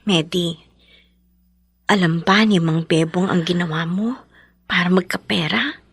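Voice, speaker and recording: An actress playing a concerned female confidante in a radio drama, husky and full of questions. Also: fairly low pitch, unhurried, fairly bright tone, no echo, exaggerated voice. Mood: concerned, probing. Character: concerned, probing woman confidante of the heroine.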